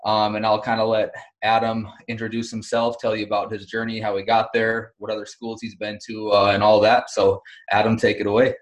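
Laid-back young male host, lowish voice. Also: completely flat delivery, fairly quick, hardly any pauses, rough recording. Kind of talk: casual interview.

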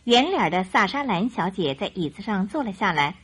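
A woman narrating a radio drama in an expressive storytelling style. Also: medium pitch, normal speed, slightly rough. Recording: music behind the voice.

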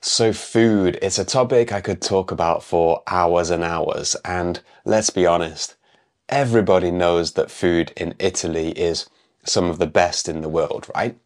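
Croaky deep-voiced man teaching. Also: a little slow, soft background music. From England. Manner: clear, explaining teacher's delivery. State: croaky voice from a cold. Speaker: English teacher and podcast host. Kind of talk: podcast English lesson.

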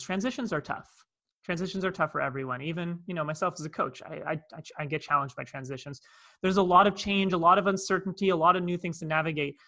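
A man's voice: on the high side, bright, forceful tone. A man with a Canadian accent, a coach telling a personal story in a podcast conversation, earnest, with many asides. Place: in Cape Town, South Africa.

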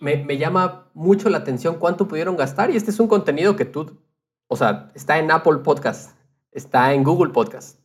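A man's voice: fairly high pitch, lively intonation, moderate pace. A man talking casually and conversationally as a podcast co-host.

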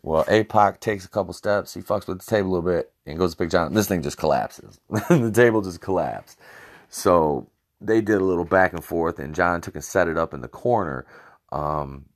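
A man hosting a podcast, telling rambling, swear-filled stories about his time as a ring announcer, with lots of fillers and restarts, recorded on a cheap home microphone. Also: normal speed. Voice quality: very deep voice. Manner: laughs at his own stories. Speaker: podcast host and former wrestling ring announcer. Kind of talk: casual podcast storytelling.

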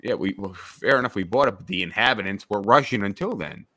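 A man hosting a casual discussion, a few drinks in, talking haltingly with many restarts and fillers. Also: lowish voice, lively intonation, sharp tone, no echo. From New York State, USA. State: several drinks in.

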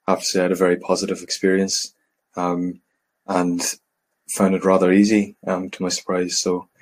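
A young man with a very deep voice gives short, hesitant webinar answers. His pitch barely moves. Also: completely flat delivery, moderate pace. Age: young adult. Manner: brief, hesitant, many "um"s. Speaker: panelist, final-year student.

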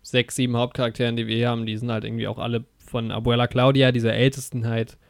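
A man's voice: mid-range voice, level tone, fast, gravelly, no echo. A man chatting casually about a film, enthusiastic and rambling, with many fillers and restarts. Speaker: podcast co-host.

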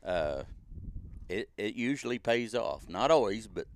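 A man's voice: fairly low pitch, big pitch swings, a little slow. An older man, talking as a guest in a plainspoken, folksy way and laughing often. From Missouri. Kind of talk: casual chat.

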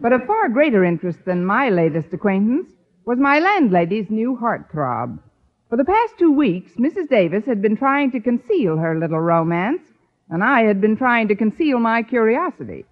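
An actress playing a wry, quick-witted schoolteacher with dry punchlines in a 1950s radio comedy. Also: female voice, a little high. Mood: wry, narrating. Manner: dry, sardonic, deadpan punchlines. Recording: slightly dull old radio recording.

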